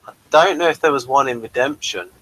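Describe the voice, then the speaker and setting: A man's voice: medium pitch, a little fast, pushed and bright, low-quality recording. A man co-hosting a review show, talking in a casual, conversational style.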